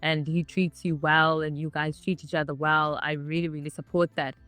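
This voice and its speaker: A woman's voice: sits low, level tone, normal speed, fairly bright tone, dry recording, soft background music. Chatty woman in her thirties. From South Africa. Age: 30s. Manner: chatty, with many "like" fillers. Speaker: interviewee. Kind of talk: casual personal storytelling.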